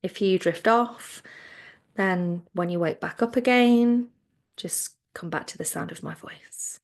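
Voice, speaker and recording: A woman leads a guided meditation at a very unhurried pace. Her mid-pitched voice has a strong creak to it. She gives gentle, soothing instructions, letting the ends of phrases soften and fade. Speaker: yoga nidra relaxation teacher.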